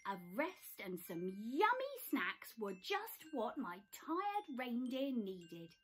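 A woman's voice: fairly high pitch, huge pitch swings, takes it slowly, fairly bright tone, breathy edge, exaggerated voice. A jolly storybook Father Christmas, acted out by a woman, speaks grandly and kindly with a chuckle in the delivery. The voice swings widely and takes its time.

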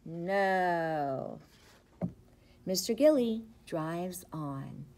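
A middle-aged woman, a preschool teacher, reads a picture book aloud to young children with expressive, rhythmic, sing-song phrasing. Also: on the low side, extremely slow, creaky. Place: indoors, school classroom. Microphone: device camera at about arm's length.

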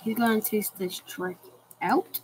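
A woman's voice: medium pitch, steady tone, unhurried, darkish tone, slight background noise. A woman giving casual, eager commentary on a racing game and building hype with questions. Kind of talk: casual hobby race commentary.

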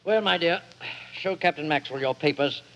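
An actor playing a vain, genial middle-aged stage actor with a hearty, theatrical manner, in 1940s radio acting. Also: male voice, fairly high pitch, normal speed, fairly bright tone. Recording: echoey, dull 1940s radio.

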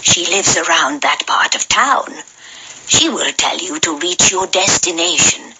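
A woman acts out a helpful old village woman from a children's storybook. She speaks slowly and deliberately in a lowish, expressive voice, with music playing clearly behind her. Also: full character voice, low-quality recording. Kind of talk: children's storybook app acting.

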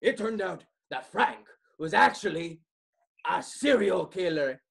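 A man performing a theatrical comedic monologue as a pompous, melodramatic amateur writer with a husky voice and dramatic pauses. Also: high-pitched, full character voice. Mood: dramatic, gleeful. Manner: smug, animated, chuckling, dramatic pauses.

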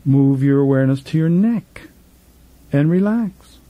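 A man leading a guided meditation as a spiritual teacher, gently instructing in a soothing, repetitive manner with trailing phrase endings, recorded in a studio. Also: a little high, expressive, unhurried, no room sound, highs cut off. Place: professional studio.

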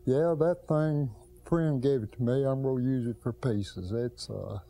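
An older man and garage owner answering questions in a plainspoken, hesitant way with many pauses, speaking in a large cluttered garage workshop. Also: medium pitch, vocal fry, warm tone, no room sound. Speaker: car restorer, garage owner. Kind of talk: casual interview answers.

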